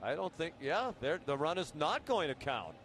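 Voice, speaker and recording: A man's voice: fairly high pitch, very animated, normal speed, fairly bright tone. A man giving live commentary on a baseball game as a radio broadcaster. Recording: live ballpark broadcast, faint noise.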